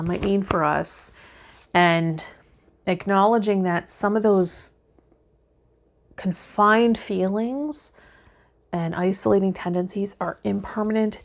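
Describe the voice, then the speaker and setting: A woman hosting a spiritual vlog, giving tarot guidance and speaking directly to her viewers. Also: medium pitch. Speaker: tarot vlog host and healer. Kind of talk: spiritual guidance, part read aloud, part casual talk to viewers.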